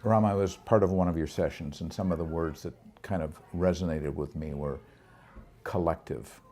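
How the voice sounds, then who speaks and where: A man with a deep, dark and husky voice conducts an interview. He asks his questions gently and without hurry. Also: steady tone, normal speed.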